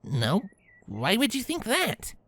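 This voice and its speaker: A woman voicing a tomboyish, protective grown pegasus pony, speaking in a calm, low, reassuring way in an acted audio drama. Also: takes it slowly, husky, dry recording, exaggerated voice. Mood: awkward, careful.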